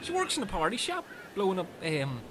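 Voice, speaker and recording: High, lively, dim Irishman played by a man. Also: brisk, background noise. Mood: chatty, matter-of-fact. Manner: rambling, earnestly literal. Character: dim, chatty, earnest Irish castaway man. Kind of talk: comedy radio play acting.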